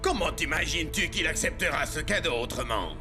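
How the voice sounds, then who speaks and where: A man playing a haughty, scheming male villain in a French-dubbed cartoon, with a theatrical, drawn-out delivery. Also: on the high side, animated, music in the background, natural voice. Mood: smug scheming. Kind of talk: animated series French dub acting.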